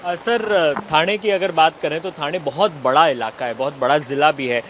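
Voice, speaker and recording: Guy doing tv news from the street, kinda high voice, halting with fillers, faint traffic behind. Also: a bit bright. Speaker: TV news field reporter. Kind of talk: on-location news reporting and interviewing. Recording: outdoor street noise, traffic.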